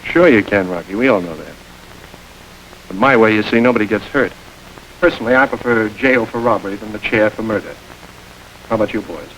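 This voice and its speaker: An actor playing a smooth, calculating middle-aged gang boss who gives orders in a calm, measured way, in 1930s crime film style. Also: male voice, on the low side, a little hiss or hum, rough recording.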